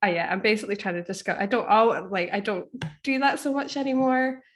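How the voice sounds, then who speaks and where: Tentative woman with a mid-range voice. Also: medium pitch. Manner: tentative, halting while thinking aloud. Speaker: podcast guest, author. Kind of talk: casual interview conversation.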